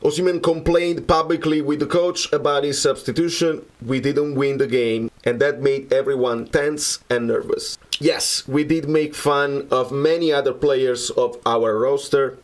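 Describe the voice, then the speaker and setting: A man from Naples with a Neapolitan Italian accent and Italian as his first language, reading an earnest, measured personal statement. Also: mid-range voice. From Naples, Italy. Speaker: musician.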